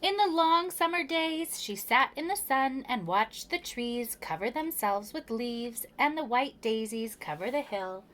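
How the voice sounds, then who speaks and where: Female preschool storyteller, lightly high voice, very slow expressive read-aloud, noisy ambient recording. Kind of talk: reading a children's picture book aloud.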